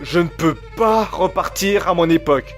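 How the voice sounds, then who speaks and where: A man's voice: high voice, moderate pace, soft background music, lightly acted. A man playing a desperate, unstable time-traveller husband in an audio drama, stammering and agitated as he pleads and defends himself. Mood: indignant, desperate. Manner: stammering, agitated, breaks off phrases. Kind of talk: amateur audio drama acting.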